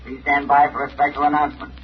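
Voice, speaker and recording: A man's voice: on the high side, little pitch movement, quick pace, close to an everyday voice. Guy doing a formal urgent old radio bulletin announcer, muffled sound. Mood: grave, urgent. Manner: formal, clipped, serious. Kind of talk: 1940s radio news announcing. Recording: dull, radio-filtered vintage sound.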